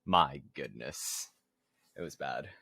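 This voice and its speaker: A man's voice: very deep voice, slow, gravelly, sharp tone, dry recording. A young man chatting casually as a podcast co-host. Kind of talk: casual chat about games and movies.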